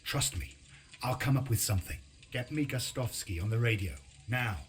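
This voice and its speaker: A man playing a calm, commanding resistance leader in a video game cutscene, firm and decisive in his delivery. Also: mid-range voice, normal speed, a little hiss or hum, natural voice. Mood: calm, resolute. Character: resolute male resistance leader, calm, commanding, weary of infighting.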